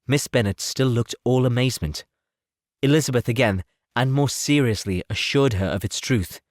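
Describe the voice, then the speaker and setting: A man narrating a classic novel as an audiobook in a measured, articulate way. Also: mid-range voice, no room sound, clean studio sound. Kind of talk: scripted literary narration.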